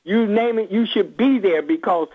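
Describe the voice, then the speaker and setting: A man's voice: sits high, moderate pace. A man speaking as an activist reverend and radio call-in guest, impassioned and emphatic, repeating phrases for force over a phone line. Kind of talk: impassioned political interview talk.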